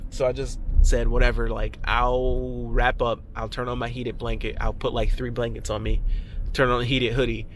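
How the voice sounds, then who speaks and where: Male vlogger, congested, frank rambling chat outdoors on an action cam, mid-range pitch. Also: flat delivery, normal speed, a bit bright, slight background noise, rough recording. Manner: rambling, frank, many restarts. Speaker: vlogger, lawn care business owner. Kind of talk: casual vlog talk to camera. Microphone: action camera.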